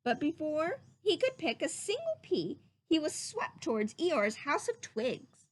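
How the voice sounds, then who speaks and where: A woman reads a children's book aloud with a high voice and an unhurried pace. Her delivery is animated and emphatic, as a teacher would read to young listeners.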